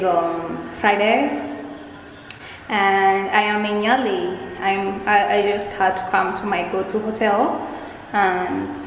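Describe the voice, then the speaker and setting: Young woman, casual travel vlog, rambling and chatty, camera at arm's length, steady background noise. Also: mid-range voice, roomy sound, long reverb tail, low-quality recording. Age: young adult. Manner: chatty, loose and rambling, restarts phrases. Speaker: travel vlogger. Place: hotel rooms, a car and outdoors. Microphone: handheld camera at arm's length.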